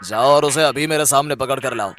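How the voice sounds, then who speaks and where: A man's voice: medium pitch, a little fast, fairly bright tone, faint music behind, lightly acted. A man voicing a domineering, greedy rich landlord in a cartoon tale, with an imperious, threatening manner. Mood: menacing. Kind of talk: Hindi cartoon villain dialogue.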